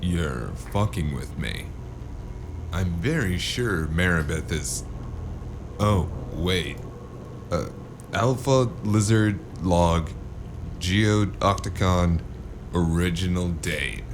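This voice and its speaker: An actor playing a jaded, wry investigator with a deep, creaky voice in a noir audio drama. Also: male voice, lively intonation, slow pace, long pauses, background noise, exaggerated voice. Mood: casual, flippant. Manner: wry, world-weary, casual. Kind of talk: noir audio drama with first-person narration.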